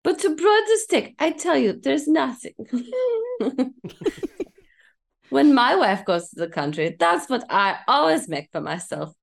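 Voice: high voice, very animated, unhurried, gravelly, pauses often, full character voice. A woman voicing a garrulous, good-natured male butcher who speaks folksy broken English in an old-time radio drama table read. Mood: eager.

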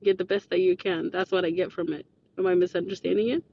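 A woman's voice: on the low side, little pitch movement, fast, creaky, slightly rough. A woman from Ethiopia whose first language is Amharic, joining as a guest and speaking hesitantly, with stammers and frequent ums. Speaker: guest caller. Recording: dull lo-fi call with faint noise.

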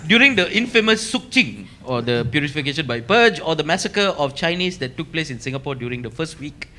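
A man with a Malaysian English accent, co-hosting a podcast and narrating history from notes in a halting, restarting way. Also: fairly high pitch, lively intonation, sharp tone, slight background noise. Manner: reads history in bursts, stumbling and restarting, laughing easily. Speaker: podcast co-host presenting the story.

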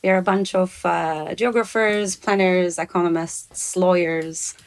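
A woman's voice: lowish voice, fairly even intonation, measured pace. Woman academic. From Turkey. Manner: friendly, with many ums and uhs. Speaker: professor, podcast guest. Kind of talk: casual podcast chat.

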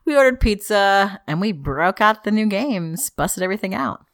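A woman's voice: medium pitch, lively intonation, normal speed, no echo, clean studio sound. A woman with a rich voice, co-hosting a podcast in casual, teasing chat. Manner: playful teasing. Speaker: podcast co-host.

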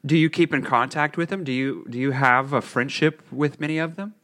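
Curious male interviewer, mid-pitched. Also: a little fast, a bit bright. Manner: curious, encouraging questions. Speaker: interview host. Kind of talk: conversational interview.

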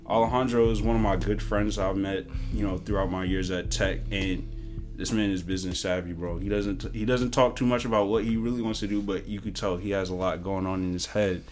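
A man's voice: sits low, steady tone, brisk, faint music behind. A young man hosting a casual podcast, talking in a hyped, joking, slangy way. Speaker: podcast host.

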